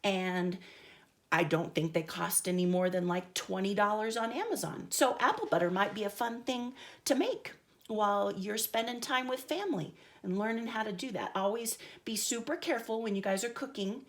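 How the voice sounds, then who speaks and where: An older woman reading a picture book aloud to young grandchildren, chatting gently and asking them questions. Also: medium pitch, lively intonation, normal speed. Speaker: storyteller grandmother. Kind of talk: children's storytime reading.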